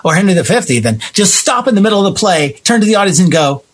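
American man, high-pitched and very lively, husky edge, emphatic explainer in casual discussion. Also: fast. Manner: emphatic, explanatory, repeats phrases for stress.

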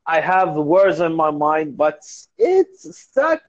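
A man in his twenties from Iraq, a native Arabic speaker with an Arabic accent, chatting haltingly in English practice and searching for words. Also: on the high side, big pitch swings, highs cut off. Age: 20s. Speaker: language learner. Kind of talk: casual conversation practice.

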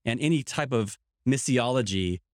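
A man's voice: medium pitch, lively intonation, normal speed, no room sound, clean studio sound. A man who pastors a church in Ireland, talking earnestly in a podcast conversation and often saying "like". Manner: earnest, emphatic, peppered with "like".

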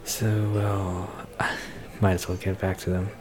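A man acts out a shy male celebrity flirting with a stranger in an ASMR roleplay. His voice is deep and he takes his time, hesitating bashfully as he goes. A bar crowd chatters clearly behind him. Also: completely flat delivery. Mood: bashful, awkward. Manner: hesitant, halting, nervous soft laughs. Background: crowd noise of a bar.

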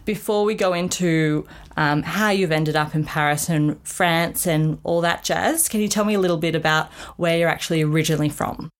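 A woman hosts a laid-back interview with a somewhat low voice. She is curious and keeps the talk going with follow-up questions. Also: fairly even intonation, normal speed, few pauses. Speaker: podcast host. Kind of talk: casual interview.

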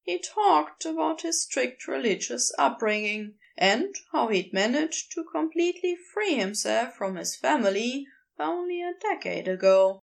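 A woman's voice: high-pitched, very animated, a little slow, vocal fry, few pauses. A woman reading a romantic story aloud as a scripted narration. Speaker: podfic narrator reading fan fiction aloud.

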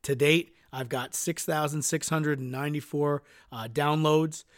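A man's voice: fairly high pitch, fairly even intonation, unhurried, dry recording, studio quality. A man hosting a solo podcast, earnest and dotted with uhs, sounding nasal from a cold. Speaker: podcast host.

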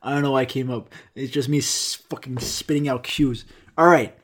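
A man's voice: medium pitch, steady tone, normal speed, fairly bright tone. A young man who grew up speaking Greek, rambling casually and swearing while answering viewer questions. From United States. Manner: rambling, profane, self-mocking. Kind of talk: casual solo Q and A.

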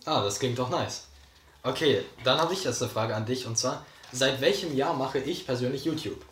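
A teenage boy co-hosting a podcast, talking in a relaxed, easygoing way with youthful slang. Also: mid-range voice, normal speed, slight background noise. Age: teens. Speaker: podcast co-host. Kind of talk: casual chat. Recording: roomy echo.

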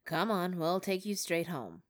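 A woman voicing a gruff male police driver who speaks in a kindly, reassuring way. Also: lowish voice, expressive, a little slow, no echo, clean studio sound. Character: gruff but soft-hearted male cop driver.

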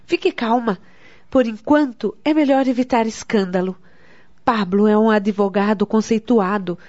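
Radio soap daughter, played by a woman, composed, serious, higher-pitched, dull lo-fi sound. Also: normal speed, darkish tone, dry recording, close to an everyday voice. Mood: calming. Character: worried adult daughter, composed, calming her anxious mother. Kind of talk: radio soap opera acting. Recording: dull, dry lo-fi radio recording.

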